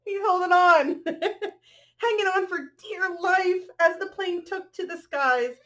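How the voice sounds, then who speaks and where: A woman's voice: very high-pitched, takes it slowly, a bit bright. A woman reading a children's picture book aloud expressively, adding chatty asides and drawing listeners in with questions and exclamations.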